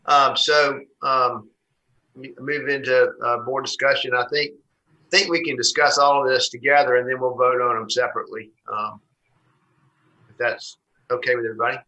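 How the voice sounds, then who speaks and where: Halting man with a bright, forceful voice. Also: medium pitch, steady tone, lo-fi sound. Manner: halting, searching for words. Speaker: board chairman. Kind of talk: chairing a public meeting.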